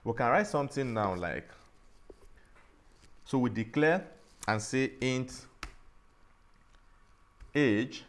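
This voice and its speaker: A man teaching a programming tutorial, explaining each step slowly and often restarting and saying "all right". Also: medium pitch, lively intonation. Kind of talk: screen-recorded coding tutorial.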